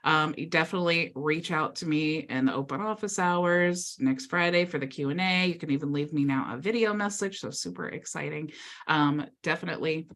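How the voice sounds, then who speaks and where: A woman's voice: deep voice, brisk, fairly bright tone. A woman hosting a live Q&A video call, chatty and upbeat with lots of filler words. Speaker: mastermind call host.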